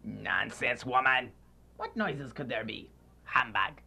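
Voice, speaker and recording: A man playing a miserly, grumpy old man in a student radio drama, scornful, gruff and easily spooked. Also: medium pitch, slow pace, bright, forceful tone, heavily acted. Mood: irritated, gruff. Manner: gruff, irritable, declamatory.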